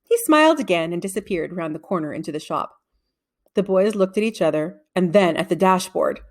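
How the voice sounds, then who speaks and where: Woman reading a kids book out loud, expressive storyteller, acts out the dialogue. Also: lowish voice, brisk. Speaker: read-aloud narrator. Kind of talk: scripted children's novel narration.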